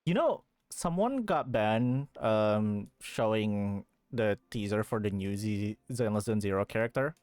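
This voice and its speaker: Rambling woman streamer with animated pitch. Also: a little slow, few pauses, no echo. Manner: rambling, swearing, incredulous reactions. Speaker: livestreamer playing a video game. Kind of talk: casual live chat and commentary. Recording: game music behind the voice.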